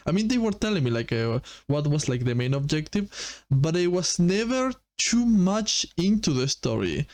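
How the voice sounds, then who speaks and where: A man giving casual commentary and review as a gaming host, speaking haltingly and often rephrasing himself. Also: high-pitched.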